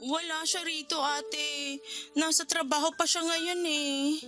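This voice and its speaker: An evasive, pleading younger sister in a radio drama. She has an extremely high, sharp young voice with a Filipino accent and a hesitant manner. Faint music sits behind her. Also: flat delivery, takes it slowly, full character voice. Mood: guilty, upset. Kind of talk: Tagalog radio drama acting.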